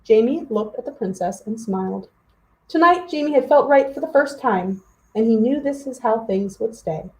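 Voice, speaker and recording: A woman hosting a children's story hour, reading expressively with animated, chatty asides to young listeners. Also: fairly high pitch, moderate pace, echoey room, poor audio.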